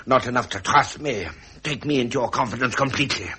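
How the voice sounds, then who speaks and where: An actor playing a wounded, resentful middle-aged British prince in a radio drama, formal and pained. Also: male voice, mid-range voice, fast talker, pushed and bright, slightly rough. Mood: resentful, pleading.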